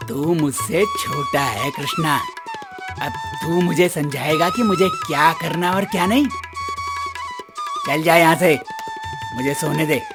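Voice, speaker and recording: A man voicing a lazy, scheming, hot-tempered drunkard son in a cartoon moral story, loud and boastful. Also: high voice, huge pitch swings, slow pace, pauses often, exaggerated voice. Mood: irritated, boastful. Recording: music bed behind the voice.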